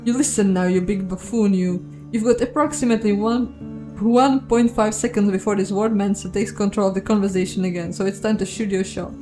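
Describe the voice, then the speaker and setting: A woman's voice: medium pitch, steady tone, brisk, over music, lightly acted. A woman voicing a sardonic inner adviser in a video game, blunt, flippant and exasperated. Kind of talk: video game character reading.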